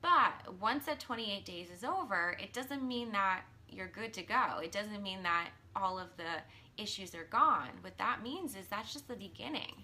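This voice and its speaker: A woman in her thirties, a coach, talking casually in a live stream, earnest and chatty with many ums, and a little hoarse from losing her voice. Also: mid-range voice, lively intonation, a little slow, bright, forceful tone. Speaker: mindset and business coach. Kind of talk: casual live-stream talk, reading viewer comments.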